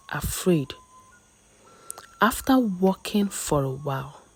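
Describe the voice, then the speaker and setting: A woman with a Nigerian accent narrating a scripted folktale as a storyteller, with measured, dramatic pauses between short phrases. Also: sits low, slight background noise, poor audio.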